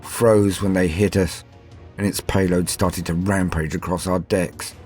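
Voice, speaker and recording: A man voicing a shaken starship captain on a first command, giving a formal, confessional account in a horror audio tale, halting and then hurried. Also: deep voice, flat delivery, darkish tone. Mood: shaken, haunted. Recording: music under the voice.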